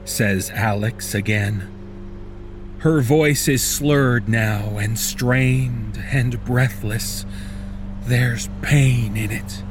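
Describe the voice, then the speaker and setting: Man, dramatic horror narration, grave, extremely measured pace, mid pitch, loud music bed. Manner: grave, deliberate, intense. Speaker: story narrator. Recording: prominent music bed behind the voice.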